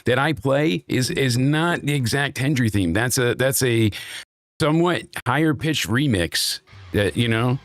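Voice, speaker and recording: A man with a stuffed-up, worn voice talks at an ordinary middle pitch, circling his points and restarting sentences as he argues. The sound is dry and close, with no room around it. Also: lively intonation, a little slow, no room sound. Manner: rambling, opinionated, frequent restarts. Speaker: wrestling review show host. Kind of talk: casual podcast chat.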